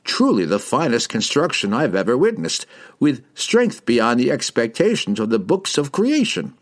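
A man voicing an excitable, fussy old wizard scholar. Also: medium pitch, moderate pace, pitched above the performer's own voice. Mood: eager.

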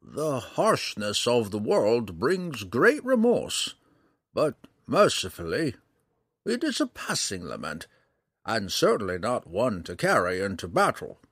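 A man voicing a kindly, philosophical old ranger in an audiobook, speaking slowly in a measured, musing way. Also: medium pitch, wildly swooping pitch, fairly bright tone, husky, no room sound, studio quality, exaggerated voice. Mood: grave, consoling. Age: older. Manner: slow, measured, musing delivery. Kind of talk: audiobook character voice.

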